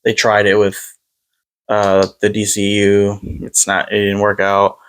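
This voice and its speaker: A man's voice: lowish voice, monotone, unhurried, clean tone. A man in his twenties chatting casually, often repeating words and swearing offhand. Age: 20s. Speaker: podcast co-host. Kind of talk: casual movie chat.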